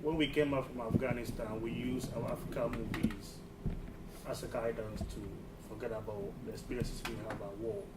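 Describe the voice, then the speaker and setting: A man's voice: mid-range voice, fairly even intonation, moderate pace. A man speaking earnestly from the audience at a press conference, repeating himself and restarting phrases. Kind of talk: spontaneous public comment. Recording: distant mic in an echoey hall with background noise.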